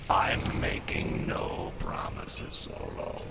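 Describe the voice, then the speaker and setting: An actor playing a cold, taunting bounty hunter in an audio drama, terse and threatening, with a gravelly rasp. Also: male voice, takes it slowly, heavy background noise, close to an everyday voice. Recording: loud orchestral score behind. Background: orchestral film score.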